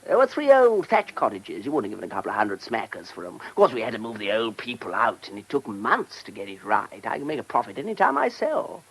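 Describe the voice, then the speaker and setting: Brash, animated English property-owning gent. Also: male voice, medium pitch, quick pace, hardly any pauses, slightly rough, exaggerated voice, rough recording. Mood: boastful. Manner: breezy, boastful, chummy upper-middle-class slang. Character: brash, self-satisfied, money-minded country-house owner and company director. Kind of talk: British radio drama acting.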